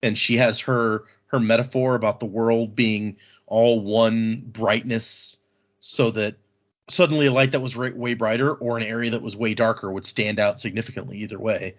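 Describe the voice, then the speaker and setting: A man's voice: on the low side, steady tone, moderate pace. Casual man recapping, slightly dull recording. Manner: informal, acts out quoted dialogue briefly. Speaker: podcast host.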